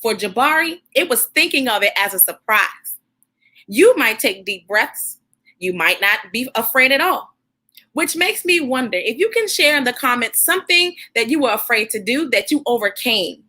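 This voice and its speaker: Female children's read-aloud host: high, bright voice, expressive teacherly delivery, encouraging toward young listeners.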